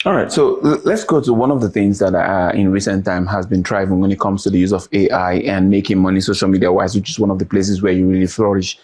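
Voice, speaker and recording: A man's voice: low voice, steady tone, fast talker, hardly any pauses, darkish tone, breathy edge. A male TV interviewer who asks long, rambling questions, restarting them midway.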